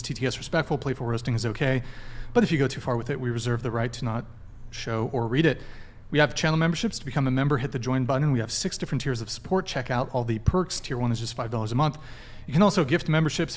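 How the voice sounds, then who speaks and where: A man hosting a live dating podcast, running through announcements in a brisk, joking way. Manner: brisk, joking sales-pitch delivery. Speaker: podcast host.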